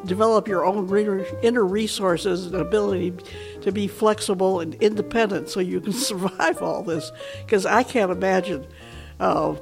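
A woman's voice: mid-range voice, moderate pace, gravelly, over music. Earnest older woman giving advice. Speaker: interviewee.

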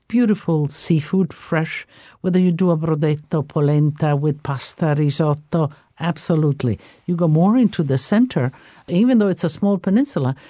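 Dark-voiced woman with an Italian accent. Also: deep voice, no room sound, studio quality. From Istria, Italy/Croatia. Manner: warm storytelling, many fillers. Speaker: chef, guest. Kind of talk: radio interview.

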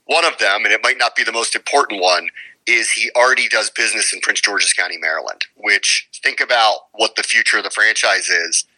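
A man's voice: lowish voice, a little fast, bright, forceful tone, low-quality recording. A man giving conversational sports commentary as a radio guest, emphatic and opinionated, often posing rhetorical questions.

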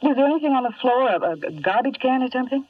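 An American actress playing an anxious but plucky young woman, fretful and expressive, in a 1950s radio drama. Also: sits high, quick pace. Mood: worried, determined. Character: anxious, plucky young working woman, the hero's loyal date. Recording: dull, distant 1950s radio recording.

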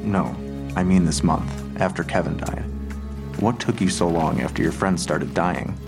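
A deep, gravelly police detective. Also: male voice. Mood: probing. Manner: measured, procedural, questioning. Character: steady, probing male police detective interviewing a witness. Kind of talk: audio drama acting. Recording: music bed behind the voice.

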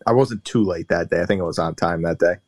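A man's voice: deep voice, fast, no room sound. Rambling, self-mocking man on a podcast. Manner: rambling, self-deprecating storytelling. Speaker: golfer guest and regular of the podcast. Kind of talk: casual podcast chat.

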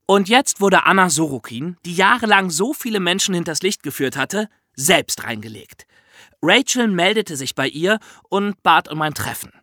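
A man's voice: high-pitched, animated, moderate pace, bright, forceful tone, no echo, clean studio sound. A man with a German accent telling true-crime stories conversationally as a podcast host, with a wry, ironic touch.

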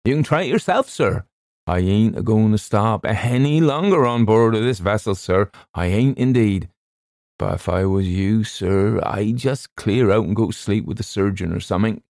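A man's voice: fairly low pitch, normal speed, a little mellow, dry recording, clean studio sound, natural voice. A man voicing a nervous, working-class male ship's steward who speaks servilely and says "sir" constantly. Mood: pleading.

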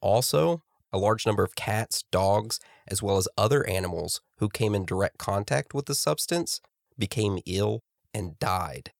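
A man hosting a casual podcast, congested from a cold, chatty and quick to laugh. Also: fairly low pitch, lively intonation, creaky, no room sound, studio quality. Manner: laughing, chatty, talks over his co-host. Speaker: podcast host.

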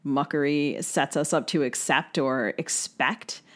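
A woman's voice: deep voice, steady tone, a little slow, a bit bright. Woman co-hosting a literary podcast interview.

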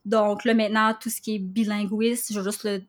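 A woman with a Québécois French accent, chatting as a podcast guest, animated and full of fillers. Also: medium pitch, a little fast. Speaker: guest copywriter. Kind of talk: casual podcast conversation.

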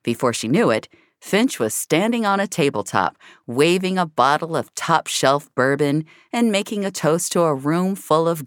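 Audio fiction narrator, medium pitch, wry hard-boiled delivery, dry studio-close sound. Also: a bit bright, no echo.

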